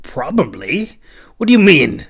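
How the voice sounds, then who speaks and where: Man voicing a loud, sour, barking knight. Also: fairly high pitch, very animated, measured pace, vocal fry, breathy edge, pitched above the performer's own voice, full character voice. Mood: suspicious, barking. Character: sour, bossy, suspicious knight on campaign.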